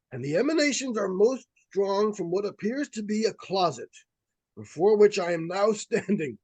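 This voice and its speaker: Pompous male paranormal investigator, played by an actor for a radio suspense play: high voice, intent, stately diction, close dry sound. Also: a little mellow, no room sound. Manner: formal, deliberate, portentous. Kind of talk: old-time radio suspense acting.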